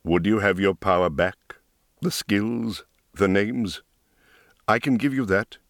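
A stern yet gentle male mage, read by a man narrating an audiobook, speaks low and quietly. His words come slowly and evenly, with calm authority. The sound is close and dry. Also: no echo. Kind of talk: audiobook character voice.

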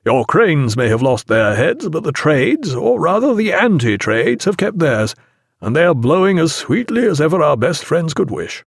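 A man playing a genial, steady sea captain in an audiobook, with hearty, reassuring authority. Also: a little high, moderate pace, creaky, husky, dry recording, clean studio sound, heavily acted.

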